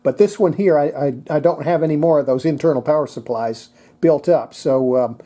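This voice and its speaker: A presenter giving a casual hands-on technical demonstration, thinking aloud in a halting way. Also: mid-range voice, dark tone. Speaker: presenter demonstrating antique radios.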